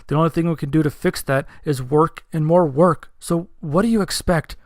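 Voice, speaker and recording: A man's voice: on the high side, normal speed, no echo, studio quality, pitched above the performer's own voice, lightly acted. A man voicing a stressed, overworked young boyfriend in an audio story, earnest and exasperated. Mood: exasperated, desperate. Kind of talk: dramatized audio story dialogue.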